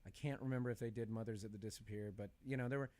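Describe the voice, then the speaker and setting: A man's voice: lowish voice, fairly even intonation, very fast, warm tone. A middle-aged man in a casual podcast chat, talkative and opinionated. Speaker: podcast speaker.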